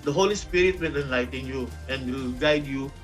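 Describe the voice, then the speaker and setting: A man's voice: medium pitch, brisk, fairly bright tone, soft background music. A man with a Filipino accent telling a personal story as a guest, emphatic and switching between Tagalog and English. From Philippines.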